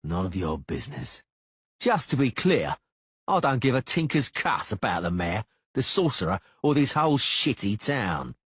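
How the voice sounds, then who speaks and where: A man voicing a boastful, hot-headed young swordsman who jeers and shouts challenges. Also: mid-range voice, big pitch swings, normal speed, breathy edge, no room sound, pitched above the performer's own voice. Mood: taunting. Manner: loud, jeering, challenging.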